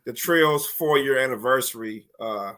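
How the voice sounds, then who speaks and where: A man's voice: medium pitch, moderate pace, pushed and bright. A man hosting a podcast, asking questions and giving short, encouraging, laid-back replies. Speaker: podcast host. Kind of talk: casual podcast interview.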